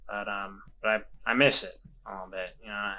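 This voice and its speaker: A man's voice: fairly low pitch, level tone, a little fast, bright, forceful tone, voice pushed down. A man acting an earnest, protective companion in an actual-play game, pleading and stammering. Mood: wistful, resolute.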